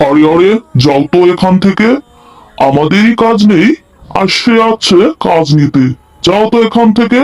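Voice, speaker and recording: Cartoon grump, male actor: dismissive, rude, high for a man, faint music underneath. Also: animated, normal speed, darkish tone. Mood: irritated, dismissive. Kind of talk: children's cartoon story dialogue.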